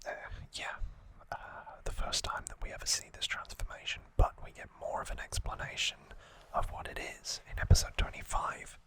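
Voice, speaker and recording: A man hosting ASMR, explaining trivia in a soft unvoiced whisper with an informal, halting delivery that often corrects itself. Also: poor audio. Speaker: ASMR host.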